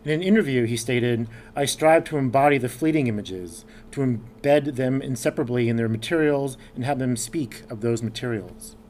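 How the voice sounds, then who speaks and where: A man reads a formal introduction from notes at a podium, his delivery even and prepared, with an occasional uh. A faint hum of room noise runs beneath. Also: mid-range voice, moderate pace, hardly any pauses. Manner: formal, reading from notes with some uhs. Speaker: program director introducing the speaker. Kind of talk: read-out introduction. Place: dark lecture hall.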